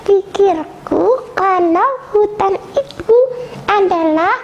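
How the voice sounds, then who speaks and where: A young girl telling a simple story in a halting, sing-song way. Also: extremely high pitch. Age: child. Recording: dull lo-fi sound, faint noise.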